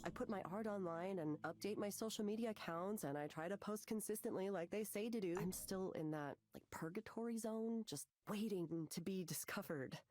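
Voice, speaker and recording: A woman's voice: medium pitch, moderate pace, hardly any pauses, darkish tone, no echo, lightly acted. Anxious, self-doubting young woman artist, voiced by an actress. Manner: hesitant, repeats words, self-deprecating. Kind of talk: naturalistic visual novel voice acting. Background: music.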